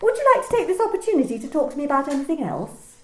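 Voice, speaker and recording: Boss figure by an actress, lady manager, calm and needling, lilting high voice, roomy home recording. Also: rapid-fire. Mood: cool probing. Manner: calm, insinuating, repeats questions. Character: cool probing female office manager. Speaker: actor in an amateur radio play.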